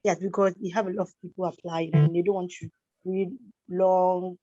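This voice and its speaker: A woman's voice: fairly low pitch, little pitch movement, normal speed, dark tone, dry recording, faint music behind. A woman speaking as a panelist on an online call, giving direct advice and repeating her points for emphasis. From Ghana. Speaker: scholarship recipient panelist. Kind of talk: online webinar talk. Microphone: video call. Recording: dull, dry lo-fi call audio.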